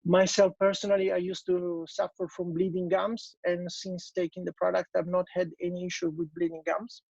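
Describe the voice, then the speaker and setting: A man with an Italian accent talks through an online business presentation in a high, gravelly voice. He hesitates often, with plenty of fillers and restarts. The video call audio sounds dull and dry. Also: level tone, normal speed, a little mellow, dry recording. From Italy.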